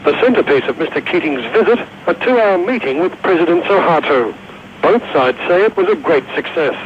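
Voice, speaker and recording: A man files a television news report in a crisp, formal cadence, his voice pitched high. The sound is dull and carries a faint hiss, like an old broadcast tape. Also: expressive, brisk. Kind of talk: scripted news report voice-over.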